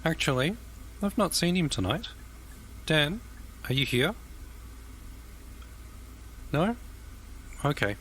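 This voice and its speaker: An actor playing a kind, formal old-school gentleman who chairs a meeting politely in an audio drama. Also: a little high, very animated, slow, pauses often, a little hiss or hum, exaggerated voice. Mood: genial, formal.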